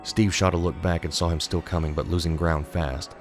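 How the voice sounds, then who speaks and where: A man narrates horror fiction in a very low voice. The delivery is scripted and suspenseful, with little movement in pitch, and music plays clearly underneath. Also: steady tone, a little fast.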